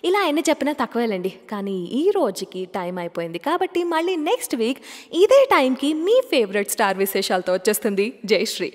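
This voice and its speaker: A woman's voice: fairly high pitch, big pitch swings, quick pace, a little mellow. Woman radio host, chatty and questioning, in a reverberant space. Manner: chatty, asks listeners questions. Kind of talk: casual radio show chat.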